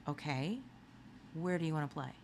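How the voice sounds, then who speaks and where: A woman voicing a quirky, bright girl from a children's novel, a loyal friend with a questioning, wry manner. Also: sits low, normal speed, a little hiss or hum. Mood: doubtful.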